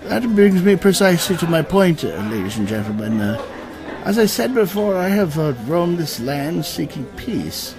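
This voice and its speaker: A man's voice: fairly high pitch, expressive, normal speed, pitched above the performer's own voice. A man playing a courteous, weary, eccentric old knight in his seventies, speaking formally and hesitantly in a comic fantasy audio drama. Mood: earnest, courtly. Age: 70s in character. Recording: music behind the voice.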